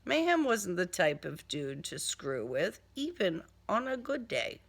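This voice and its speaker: A woman narrating a first-person crime story in a deliberate, dramatic, sardonic manner. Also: lowish voice, very animated, vocal fry. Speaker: author reading own crime novel.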